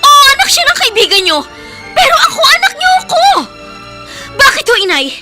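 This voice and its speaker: Shrill, tearful, aggrieved daughter. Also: female voice, very animated, brisk, sharp tone, soft background music, exaggerated voice. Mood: tearful, accusing. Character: hurt, accusing daughter pleading with her mother. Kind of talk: radio drama acting.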